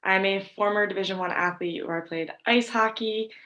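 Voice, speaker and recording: Young woman, 20s, bright voice, friendly, direct. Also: mid-range voice, brisk, highs cut off. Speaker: CrossFit athlete giving a testimonial. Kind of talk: testimonial interview.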